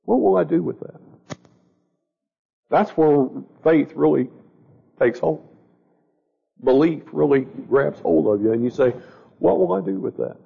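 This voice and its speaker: Man, Bible study teacher, gravelly and stopped up, conversational, somewhat higher voice, dull lo-fi audio. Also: expressive, unhurried, long pauses. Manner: conversational, repeats words, asks the group questions. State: stuffy and a little scratchy from a cold. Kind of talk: informal Bible study lesson. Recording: dull lo-fi recording.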